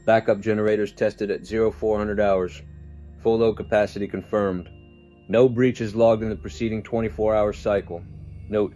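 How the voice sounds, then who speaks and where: Senior researcher, male actor: detached, official, mellow and monotone, dictating an audio drama log over music. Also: lowish voice, natural voice. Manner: measured, deadpan, official. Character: clinical, detached senior scientist logging a report.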